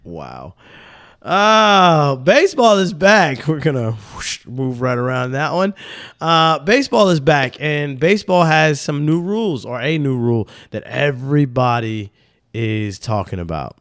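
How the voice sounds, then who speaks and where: A man's voice: fairly high pitch, unhurried, dry recording, clean studio sound. A young man with a slightly nasal voice, hosting a sports podcast in loose, rambling casual talk. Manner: loose, animated, rambling with slang.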